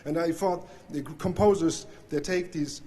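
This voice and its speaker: Nervous Swiss man, halting, fairly high voice. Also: steady tone, warm tone. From Switzerland. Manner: hesitant, many fillers and restarts. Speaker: banjo player giving an award speech. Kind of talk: heartfelt acceptance speech.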